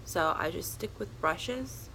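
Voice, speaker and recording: Raspy young woman getting over a cold. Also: on the low side, lively intonation, takes it slowly, sharp tone, slight background noise, low-quality recording. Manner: chatty, rambling, a little nervous. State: hoarse from a cold. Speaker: beauty vlogger. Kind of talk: casual makeup tutorial chat.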